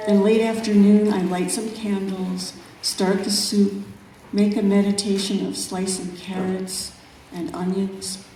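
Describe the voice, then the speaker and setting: A woman poet reading her own work at a live event, in measured, deliberate phrases with pauses. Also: medium pitch, flat delivery, mellow, a little hiss or hum. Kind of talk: poetry reading at a live event, with brief spoken introductions. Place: echoey room at an arts event. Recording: very echoey room, distant mic, music.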